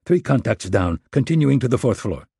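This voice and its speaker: A cold, curt hit-squad leader barks orders in a husky, dark voice, as a man puts him on. The sound is dry and close, like an audiobook read. Each order comes out clipped and commanding. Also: mid-range voice, very animated, normal speed, dry recording, full character voice. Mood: reporting.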